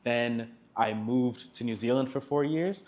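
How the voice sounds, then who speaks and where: A teenage student from South Africa with an American accent, answering interview questions in a chatty way with lots of "like". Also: male voice, mid-range voice, measured pace, a little mellow. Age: teens. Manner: chatty, laughs between thoughts, lots of "like". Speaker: student guest.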